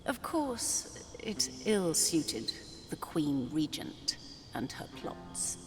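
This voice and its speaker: A solemn female oracle speaks very slowly in a long reverb. Her voice is lowish and serene, with measured archaic phrasing fit for a fantasy game. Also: very animated, exaggerated voice. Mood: solemn, mystical. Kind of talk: fantasy video game acting. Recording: long reverb, faint noise.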